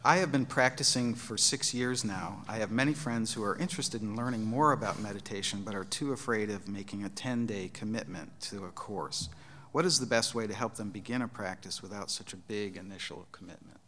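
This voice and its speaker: A man moderating, reading written audience questions aloud in a measured way. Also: on the low side, bright, forceful tone, a little hiss or hum.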